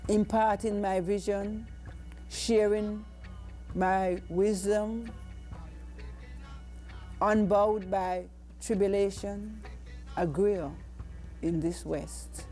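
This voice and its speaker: An older Jamaican woman reciting poetry in a solemn, measured, declamatory manner. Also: medium pitch, steady tone, dark tone, faint music behind. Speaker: poet.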